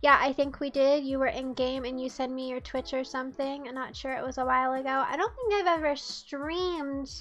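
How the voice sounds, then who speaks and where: A woman's voice: high-pitched, steady tone, brisk, hardly any pauses, fairly bright tone, lo-fi sound. A young woman from the United States streaming live, chatting casually and teasing her chat in a playful way.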